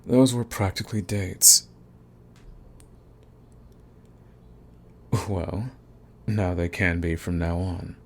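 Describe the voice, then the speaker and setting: A man's voice: low-pitched, unhurried. A man playing a cocky, flirty villain wooing an enemy in a teasing, intimate romance audio roleplay.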